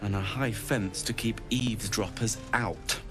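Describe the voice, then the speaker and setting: An actor playing an easygoing, hopeful refugee in a fantasy game, with wry, relaxed talk. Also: male voice, fairly low pitch, unhurried, music in the background, natural voice.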